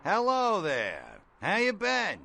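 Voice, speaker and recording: A high, swooping male voice gives us a brash middle-aged bail bondsman from a video game. He talks in blunt, folksy slang with a gravelly edge, over faint background noise. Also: huge pitch swings, slow, sharp tone, full character voice. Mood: chatty. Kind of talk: video game character dialogue.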